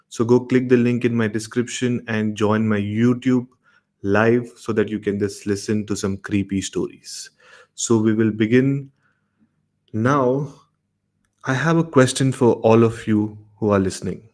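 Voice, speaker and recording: A man's voice: lowish voice, a little mellow. A man in his thirties from Maharashtra, India, with an Indian accent, hosting and narrating a horror story show. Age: 30s.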